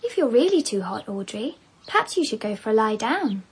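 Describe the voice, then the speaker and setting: A woman's voice: a little high, big pitch swings, fairly quick, breathy edge, heavily acted. A woman with a British accent playing a spoiled, jealous young wife in a radio drama, petulant and cutting. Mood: catty.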